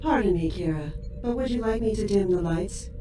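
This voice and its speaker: Echoing, mellow female machine assistant acted by a woman. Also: mid-range voice, fairly quick, lightly acted. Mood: polite, concerned. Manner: formal, polite. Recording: very echoey, distant, faint music.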